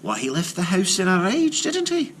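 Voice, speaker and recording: A man voicing a shrewd, bold wife in a folktale, brisk, coaxing and sure of herself. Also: high-pitched, wildly swooping pitch, a little hiss or hum, voice pushed up. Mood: calm, sly. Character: shrewd, bold giant's wife, calm and teasing.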